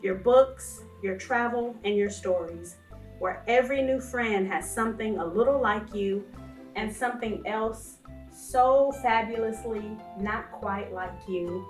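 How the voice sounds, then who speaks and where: A woman's voice: mid-range voice, a little slow. A woman co-hosting a read-along for young children, reading a picture book aloud with expression. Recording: roomy, distant microphone, music behind.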